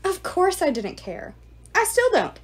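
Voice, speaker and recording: Sneering contemptuous ghoul lord, male, read by a woman; lively, rising delivery. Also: very high-pitched, a little slow, voice pushed up, exaggerated voice. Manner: mocking, contemptuous. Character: sneering arrogant male ghoul, suitor's ghost.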